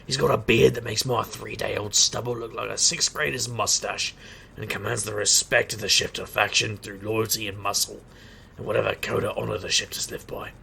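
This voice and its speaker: An actor playing a wisecracking private eye and street magician, narrating an audio drama in a sardonic, gravelly way. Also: male voice, on the low side, level tone, normal speed, pushed and bright, full character voice. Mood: wry. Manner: sardonic, wry. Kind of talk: noir-style first-person narration and dialogue in audio drama.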